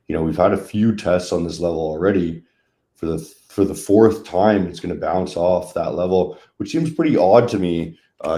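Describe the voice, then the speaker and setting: A man's voice: low-pitched, warm tone, roomy sound. A man hosting a casual trading livestream, chatting loosely over charts with plenty of fillers. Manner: laid-back, chatty, many fillers.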